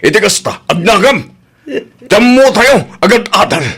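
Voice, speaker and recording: A man acting a raspy, furious father. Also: sits high, lively intonation, measured pace, fairly bright tone, exaggerated voice. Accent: Filipino. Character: furious, harsh father punishing his son. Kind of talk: Ilocano radio-style drama acting.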